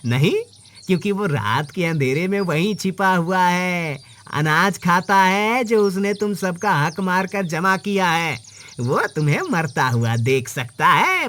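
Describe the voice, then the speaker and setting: A man playing a scheming village moneylender in a Hindi moral cartoon, forceful, insinuating and rabble-rousing. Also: a little high, full character voice.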